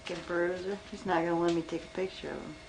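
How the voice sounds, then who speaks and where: A woman's voice: lowish voice, flat delivery, fast. A woman chatting casually and playfully with her family from behind a camcorder, coaxing them along. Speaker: family member filming her grandparents. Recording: noisy amateur camcorder audio.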